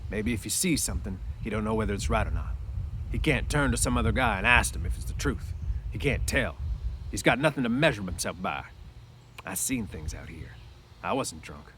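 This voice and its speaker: Storybook stable hand, played by a male reader: bitter, lonely loner, folksy rough grammar, low-pitched, noisy recording. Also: animated, a little fast, fairly bright tone. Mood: wistful, brooding. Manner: folksy, ungrammatical ranch speech.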